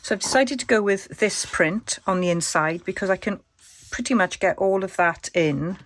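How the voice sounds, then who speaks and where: A woman with a croaky voice, thinking aloud as she demonstrates a casual craft tutorial. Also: on the low side, slight background noise. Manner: chatty, thinks aloud while working. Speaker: crafter demonstrating junk journal covers.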